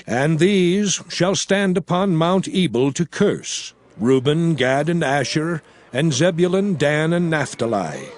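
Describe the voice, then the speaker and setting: Man as slow, commanding biblical leader Moses. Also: fairly high pitch, animated. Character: Moses, commanding leader proclaiming law to Israel. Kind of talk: dramatized King James Bible reading.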